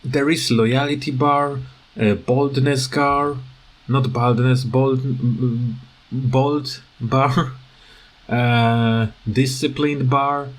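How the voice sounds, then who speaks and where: A man giving casual, unscripted commentary on a video game, hesitant and halting as he searches for words and often stammers. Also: mid-range voice, fairly even intonation. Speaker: gaming video creator.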